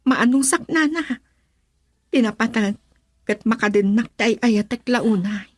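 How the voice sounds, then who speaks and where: A woman's voice: a little high, unhurried, lightly acted. A woman playing a headstrong, insistent young bride-to-be who defies her father in an Ilocano radio drama.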